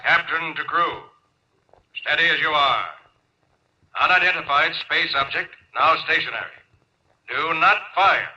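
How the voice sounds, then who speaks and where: An actor playing a suspicious, domineering spaceship captain in a 1950s radio drama, sardonic and commanding. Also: male voice, fairly high pitch, measured pace, long pauses, sharp tone. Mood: firm, wary. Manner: sardonic, domineering, clipped orders. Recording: noisy, dull 1950s radio recording.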